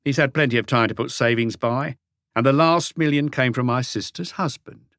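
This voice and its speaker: A man's voice: mid-range voice, moderate pace, a bit bright, no room sound, clean studio sound, voice pushed up, close to an everyday voice. A man voicing a self-satisfied, disdainful male suspect in his late twenties for an audiobook. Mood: smug. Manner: smug, insistent.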